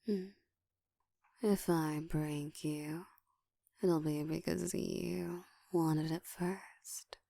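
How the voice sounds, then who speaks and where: Casting: woman to play an ancient female vampire, dominant and seductive, low hushed whisper, extremely slow and deliberate, intimate ASMR roleplay. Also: steady tone, no echo, clean studio sound, full character voice. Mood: teasing, possessive. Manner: breathy whispering, slow deliberate teasing delivery.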